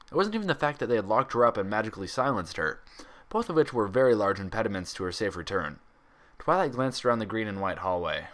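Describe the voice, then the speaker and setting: Low, animated male story reader. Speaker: fan fiction reader. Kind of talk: expressive scripted story narration.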